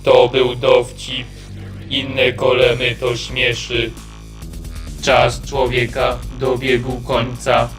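A man voicing a stiff, humourless robot golem with a deadpan manner. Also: medium pitch, drawn-out words, reverberant, soft background music. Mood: deadpan, menacing.

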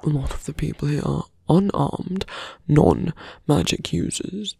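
Suave villain mage for fantasy ASMR roleplay, played by a man: very creaky, breathy, slow and deliberate, mocking with calm menace. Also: on the high side, no room sound, heavily acted. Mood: smug, taunting. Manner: hushed, deliberate, condescending, often dropping to a whisper.

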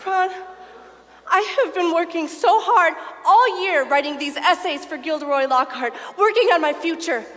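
A woman's voice: extremely high pitch, animated, moderate pace, a bit bright. A woman playing a prim, earnest, wounded teenage schoolgirl in a comedic stage reading, emotional and melodramatic. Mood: hurt, earnest. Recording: reverberant stage hall.

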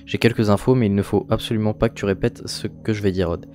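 A man's voice: lowish voice, fairly even intonation, quick pace, a little mellow, faint music behind, natural voice. A man reading an earnest, confiding teenage schoolboy in a visual novel.

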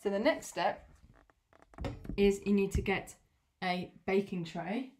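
A young woman teacher in her home kitchen, gently explaining each cooking step to children as she works. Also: mid-range voice, fairly even intonation, takes it slowly, slight background noise.

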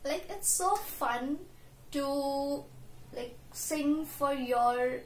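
High-voiced young Indian woman, slow and chatty. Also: slight background noise. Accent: Indian English. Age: young adult. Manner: chatty and self-deprecating, with frequent "so yes" fillers. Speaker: YouTube reaction vlogger. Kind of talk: casual chat to camera. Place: small room at home, indoors. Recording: roomy home room echo, faint music.

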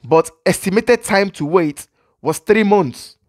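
A man's voice: high-pitched, slow pace, a little mellow. A man in his thirties with a Nigerian accent, vlogging casually and animatedly on a headset mic in a small room, repeating points for emphasis. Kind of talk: casual vlog monologue.

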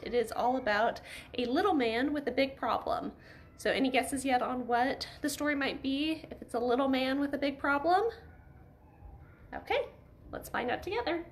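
A woman's voice: sits high, a little hiss or hum. A woman, reading and simply explaining a story for children, often asking them questions. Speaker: Bible story reader for children. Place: living room by a fireplace.

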